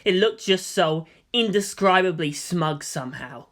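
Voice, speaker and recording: A man's voice: high voice, expressive, a little slow, a bit bright. A man giving a dramatic scripted narration of a fan fiction story.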